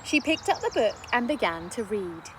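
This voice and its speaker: A woman narrating a children's story in an expressive read-aloud style. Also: a little high, normal speed, slight background noise, poor audio. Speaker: storybook reader.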